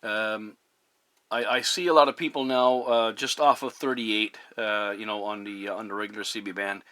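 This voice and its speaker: A man chatting as a CB radio operator in a measured, courteous way. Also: lowish voice, fairly even intonation, pushed and bright, no room sound. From Newfoundland, Canada. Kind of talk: casual radio conversation.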